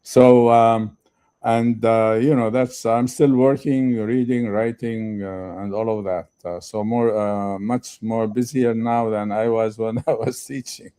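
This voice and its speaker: An older man from Lebanon, a retired professor speaking as a conference guest, talking slowly with many halting fillers. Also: on the low side, fairly even intonation, warm tone, smooth. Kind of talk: conference interview.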